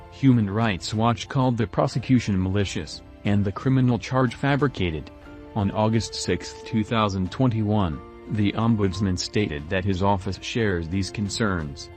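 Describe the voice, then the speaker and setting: Male news narrator, lowish voice, steady scripted read over faint music. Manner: even, measured reading.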